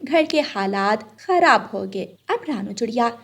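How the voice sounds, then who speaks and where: High-pitched woman narrating children's tales. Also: animated, unhurried, fairly bright tone. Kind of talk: scripted children's story narration.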